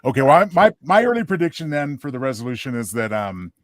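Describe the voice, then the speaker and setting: Animated male podcast co-host, dry sound. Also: mid-range voice, fast talker, no echo. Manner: wisecracking. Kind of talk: casual chat commentary on a radio play.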